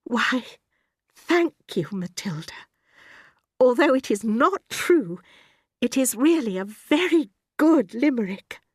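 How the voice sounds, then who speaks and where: A woman voicing a gentle, encouraging female schoolteacher in a storybook, kindly, prim and attentive. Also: a little high, big pitch swings, takes it slowly, husky, no echo, clean studio sound, pitched above the performer's own voice, full character voice. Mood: pleased, kindly.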